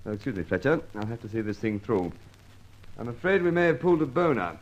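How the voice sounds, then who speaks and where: A businesslike police inspector, acted by a man from an old radio detective serial. He speaks in a mid-pitched voice with lively inflection, polite but dryly official. The recording is muffled and vintage. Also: fast talker, close to an everyday voice. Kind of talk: old-time radio drama acting.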